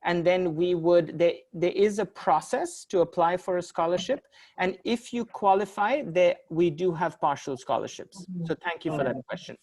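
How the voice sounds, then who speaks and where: A man's voice: high-pitched, moderate pace, a little mellow. Man hosting a video-call webinar. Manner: polite, facilitating, frequent fillers. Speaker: webinar host.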